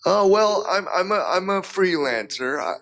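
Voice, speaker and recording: A man's voice: high voice, animated, moderate pace, gravelly, a bit bright. A man speaking as a guest in a casual chat, hesitant, with frequent ums and "you know". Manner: hesitant, many ums and restarts, says "you know" often.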